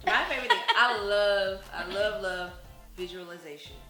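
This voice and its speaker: Lively woman co-hosting a casual talk show. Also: medium pitch, moderate pace, roomy sound, music in the background. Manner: animated, switches into soft soothing voice. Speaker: podcast co-host. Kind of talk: casual chat.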